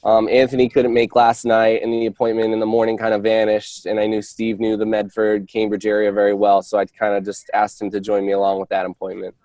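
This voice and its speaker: A man working as a real estate agent, giving an informal update on a work call in a hesitant, halting way with false starts. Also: fairly low pitch, monotone, dark tone, no echo. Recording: dry conference call audio.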